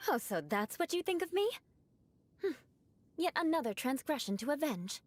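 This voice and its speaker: A woman's voice: high-pitched, sing-song, moderate pace, no room sound. An actress playing a haughty young noblewoman knight in a video game, formal and composed, with talk of vengeance in a theatrical manner. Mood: haughty, indignant.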